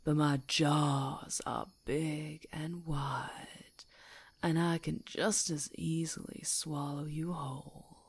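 Husky, very deep predatory werewolf played by a woman. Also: level tone, no room sound, exaggerated voice. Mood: predatory, savoring. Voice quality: breathy, husky. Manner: slow, menacing, teasing delivery. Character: predatory female werewolf hunter, menacing, gloating, playful. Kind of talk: whispered ASMR roleplay.

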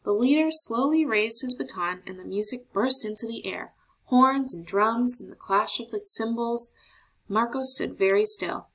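A woman narrating a children's picture book aloud in an expressive storytelling style. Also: on the high side, moderate pace, slightly rough, low-quality recording. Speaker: storybook reader.

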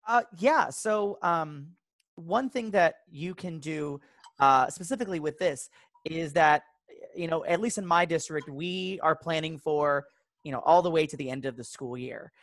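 Guy teacher talking on a video call, earnest n measured, dry sound no room echo. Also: a little high, fairly bright tone. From Texas resident. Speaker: theater teacher. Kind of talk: informal explanatory talk to educators.

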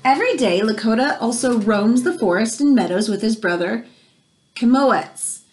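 A woman in her thirties reads a picture book aloud to children in an expressive, teacherly way, pausing to explain things. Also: fairly high pitch, rough recording. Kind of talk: read-aloud storytelling with explanatory asides for children. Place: small room indoors at home. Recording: home recording with background noise.

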